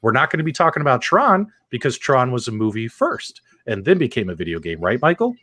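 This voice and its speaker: A man's voice: medium pitch, very animated, a little fast, pushed and bright, dry recording. A man hosting a casual live talk show about video game movies, chatty and laughing, with frequent fillers. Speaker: host. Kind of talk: casual livestream chat.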